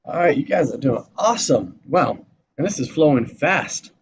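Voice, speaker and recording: A man's voice: a little high, lively intonation, normal speed, lo-fi sound, slight background noise. A man casually hosting a live table read in an upbeat, encouraging way.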